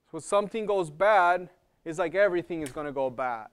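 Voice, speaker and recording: Man with a smooth, fairly high voice. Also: animated, fairly quick. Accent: non-native English. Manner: conversational, checks in with "okay?" and repeats words while thinking. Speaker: health program lecturer. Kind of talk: live classroom lecture.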